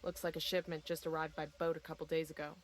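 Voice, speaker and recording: An actor playing a composed, wry special-operations soldier briefing a team in a video game. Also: male voice, a little high, flat delivery, quick pace, a little hiss or hum, natural voice. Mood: businesslike. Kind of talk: video game military dialogue.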